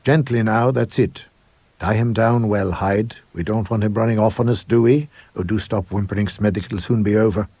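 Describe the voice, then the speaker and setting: A man voicing a calm, commanding, sinister headmaster in an audiobook ghost story, coaxing with authority. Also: on the low side, moderate pace, no echo, voice pushed up.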